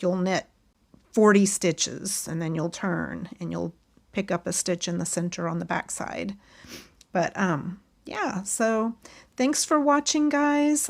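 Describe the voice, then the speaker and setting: Hoarse-ish lady walking you through knitting steps, chatty and easy, talks while she works. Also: medium pitch. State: losing her voice. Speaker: knitting tutorial host. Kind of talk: casual step-by-step tutorial.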